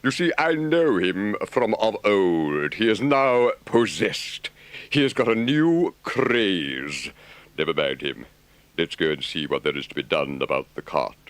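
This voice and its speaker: A man's voice: low-pitched, lively intonation, measured pace, no room sound. A man voicing a hot-tempered but practical male water rat, indignant and then firm. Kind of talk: audiobook character voice.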